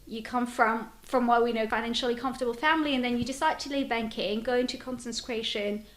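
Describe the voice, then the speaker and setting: A woman's voice: a little high, steady tone, fast, a little hiss or hum. A woman hosting a podcast interview in a friendly, chatty way. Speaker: podcast host. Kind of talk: casual interview chat.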